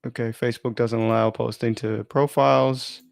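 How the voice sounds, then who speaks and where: Creaky-voiced man rambling on a casual livestream. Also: medium pitch, little pitch movement, moderate pace, dry recording, studio quality. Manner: rambling, informal, self-interrupting. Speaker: live streamer and podcaster. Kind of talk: casual unplanned live stream chat, thinking aloud.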